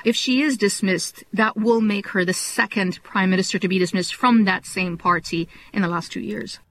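A woman foreign correspondent giving a steady, explanatory news report. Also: medium pitch, normal speed, poor audio.

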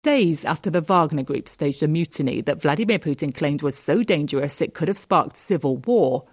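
A woman correspondent narrating a scripted news report in a steady, deliberate way. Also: deep voice, husky. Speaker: foreign correspondent.

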